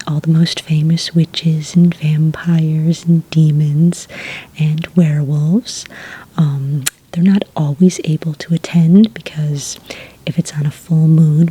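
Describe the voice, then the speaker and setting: A woman speaks in a low, extremely breathy whisper with a soft, dark tone. She plays a friendly witch working a hotel front desk in an ASMR roleplay. Her manner is gentle, polite and helpful. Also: low-quality recording. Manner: gentle, polite, customer-service phrasing.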